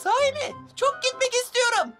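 Asura, very high-pitched mischievous magical child. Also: female voice, normal speed. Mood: excited. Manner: expressive, childlike. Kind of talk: animated children's series dub. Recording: music behind the voice.

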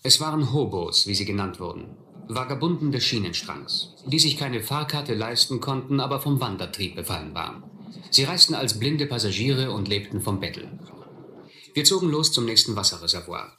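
An actor playing a reflective man recalling his runaway youth, narrating a radio play in measured, literary sentences. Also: medium pitch, animated, bright, forceful tone, reverberant, a little hiss or hum. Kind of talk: first-person scripted narration in German radio play.

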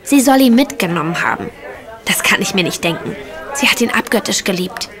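Young radio play maid, played by a woman: naive and heartfelt, easily indignant, mid-pitched voice. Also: lively intonation, fairly quick, crowd noise, lightly acted. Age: young adult. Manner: simple, guileless, emotional. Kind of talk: German radio play acting.

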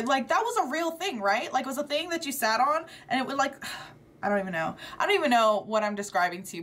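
A woman's voice: a little high, a bit bright. A young woman hosting a reaction vlog, chatting casually and swearing freely with plenty of "like". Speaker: reaction video host. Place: small room in front of green screen.

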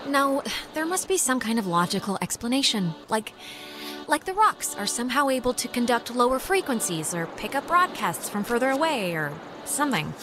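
A woman's voice: fairly high pitch, sing-song, moderate pace. A woman voicing a skeptical, sardonic teenage girl in naturalistic video game dialogue, casual, dry and pointed. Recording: game music under the voice.

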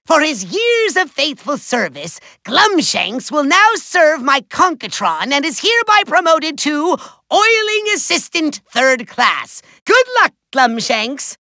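Super high screechy nasal raspy evil overlord robot for a video game, pompous n gloating, hot-tempered, swoops all over. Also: male voice, pushed and bright, no echo, clean studio sound, full character voice. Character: short-tempered megalomaniac male villain in giant robot body. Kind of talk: theatrical cartoon villain acting.

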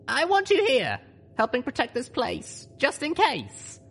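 A determined little pony mare, acted by a man in a storybook. The voice is very high and lifted, firm and urgent as a leader's. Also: wildly swooping pitch, measured pace, fairly bright tone, husky, heavily acted. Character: small determined female pony leader, first-person heroine.

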